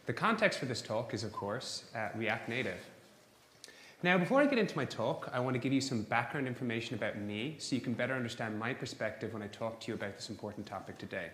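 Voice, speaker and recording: A man's voice: medium pitch, lively intonation, fast talker, a bit bright, slight background noise. An Irish man in his thirties giving a technical conference talk, upbeat and explanatory, with filler words between phrases. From Ireland. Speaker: conference speaker. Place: conference hall. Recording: echoey conference hall.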